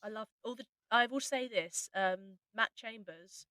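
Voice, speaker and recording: A woman's voice: fairly high pitch, measured pace, crowd noise. A woman who writes for an audio drama, chatting casually and informally about it with colleagues. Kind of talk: casual chat.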